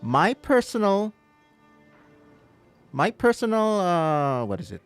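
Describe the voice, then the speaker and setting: A man's voice: high voice, big pitch swings, slow, smooth. A man streaming a game live, casually explaining things and chatting with his viewers. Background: game music.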